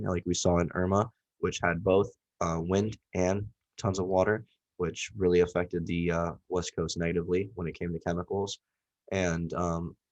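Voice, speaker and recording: A man's voice: sits low, darkish tone, low-quality recording. A young man with a cold, giving a casual, rambling class presentation with lots of fillers. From Southwest Florida. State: nasal from a cold. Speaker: student presenter.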